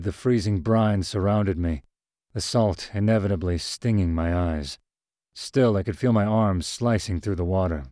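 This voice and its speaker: Deep-voiced male storyteller. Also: no room sound, clean studio sound. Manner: measured, even storytelling. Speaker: narrator. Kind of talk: scripted first-person story narration.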